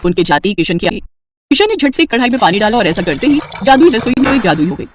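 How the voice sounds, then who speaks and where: Brisk, animated storytelling narrator. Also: mid-range voice, breathy edge, no echo. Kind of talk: scripted children's moral story narration.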